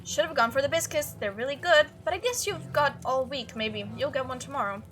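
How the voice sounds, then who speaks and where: Woman voicing a high, playful, chatty small-town girl. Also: a little fast, a bit bright, soft background music. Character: chatty, teasing young small-town woman, joking and self-deprecating. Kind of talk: visual novel character read aloud.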